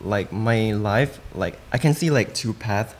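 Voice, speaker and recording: A man's voice: fairly low pitch, measured pace, slight background noise. A man in his twenties from Thailand, a native Thai speaker, chatting as a guest with lots of fillers and easy laughs. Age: 20s. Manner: chatty, laughs easily, many likes and fillers. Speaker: guest, boy band singer. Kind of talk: casual interview chat.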